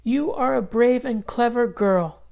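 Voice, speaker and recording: A man's voice: very high voice, unhurried, vocal fry, lo-fi sound, pitched above the performer's own voice, heavily acted. A man voicing a kindly old grandmother in a children's storybook read-aloud. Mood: warm.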